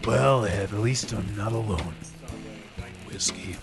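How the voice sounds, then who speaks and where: Brooding cop, radio serial; low, slow, terse, world-weary, music loud behind him. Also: male voice, vocal fry. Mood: weary, guarded. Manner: hesitant, terse. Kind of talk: old-time radio drama acting. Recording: loud music bed under the voice.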